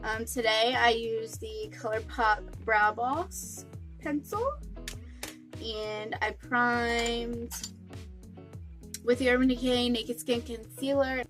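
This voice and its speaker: Stuffy young woman w a cold, kinda high voice, chatty makeup tutorial, soft music playing. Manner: chatty, friendly step-by-step explaining. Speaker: beauty vlogger.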